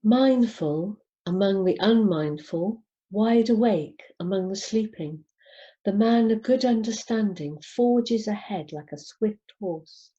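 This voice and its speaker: A woman reading scripture aloud in a measured, deliberate way, pausing between short phrases. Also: mid-range voice, mellow. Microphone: video call over Zoom. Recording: dull, lo-fi video call.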